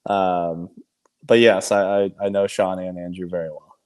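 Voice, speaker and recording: Male angler guest, somewhat low pitch, relaxed conversational delivery, brief answers. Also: moderate pace, clean tone. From New England, near Massachusetts. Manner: laid-back, brief answers, chuckles often. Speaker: amateur tournament angler, guest. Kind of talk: casual interview chat.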